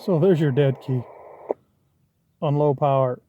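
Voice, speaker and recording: Middle-aged male, slightly high voice, informal hobbyist demo delivery, thinks aloud, some background noise. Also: measured pace, dark tone, lo-fi sound. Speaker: hobbyist vlogger demonstrating a CB radio. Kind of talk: casual hands-on demonstration. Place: in or beside a parked vehicle. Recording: clearly noisy handheld amateur recording.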